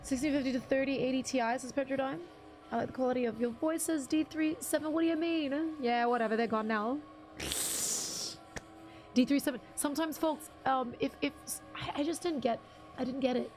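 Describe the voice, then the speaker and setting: A young woman with a husky, slightly high voice chats loosely with her stream viewers. She rambles, trails off and restarts her sentences. Soft game music plays behind her. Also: fairly quick. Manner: chatty, rambling, frequent restarts and fillers. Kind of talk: casual live-stream chat reading viewer comments.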